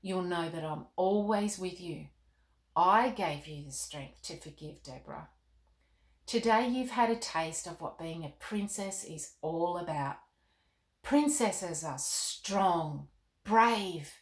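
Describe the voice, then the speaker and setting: A woman reading the part of a gentle, wise, fatherly storybook king in a calm, measured, reassuring way. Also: lowish voice. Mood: calm, kindly. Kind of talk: storybook read-aloud. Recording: roomy, echoing indoor sound.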